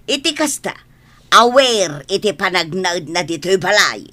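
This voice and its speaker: A woman's voice: fairly low pitch, very animated, a little slow, pushed and bright, heavily acted. An actress playing a strict, stern mother of a grown son in a radio drama, questioning and commanding. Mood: stern, conditional.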